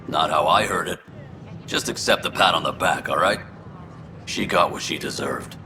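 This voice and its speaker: A man playing a gruff, insistent frontier local in video game dialogue, gravelly and clipped. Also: low-pitched, normal speed, background noise. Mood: gruff, approving.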